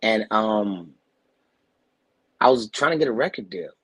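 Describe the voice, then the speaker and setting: A lower-voiced man from New Jersey with a husky edge tells stories loosely and with slang, laughing as he goes. His voice sounds close and dry, with no room around it, like a video call interview. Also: unhurried, no room sound. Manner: laid-back, laughing storyteller with slang. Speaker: guest actor. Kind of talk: casual storytelling interview.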